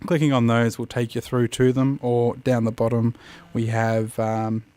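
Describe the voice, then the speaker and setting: A man's voice: mid-range voice, completely flat delivery, moderate pace, warm tone, clean tone. A man with an Australian accent hosts a casual review, explaining things in a hesitant way. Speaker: host of an app review show.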